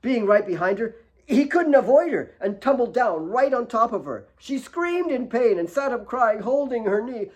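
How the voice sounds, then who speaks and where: A man narrating dramatic fiction as its author, in an expressive storytelling manner. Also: very high voice, moderate pace, rough recording. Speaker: author reading own fiction.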